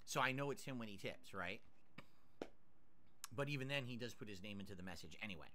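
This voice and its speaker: A man's voice: fairly low pitch, lively intonation, brisk, noisy background. A man streaming live, chatting casually as he eats and reads out viewer tips and thanks. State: mouth burned, nasal drip. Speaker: livestreamer.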